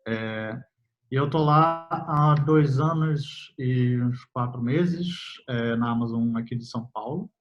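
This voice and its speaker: Man, young adult, casual interview guest, laid-back, middle pitch, muffled audio. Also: takes it slowly. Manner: relaxed, many fillers, laughs easily. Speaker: software developer guest.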